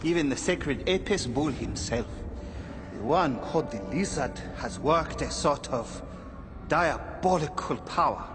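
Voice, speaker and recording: An actor playing a grave Egyptian dignitary in a video game, speaking in a solemn, deliberate way. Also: male voice, on the high side, animated, music in the background. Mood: grave, ominous. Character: grave, ominous Egyptian dignitary lamenting his cursed land. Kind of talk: video game cutscene acting. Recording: long hall reverb.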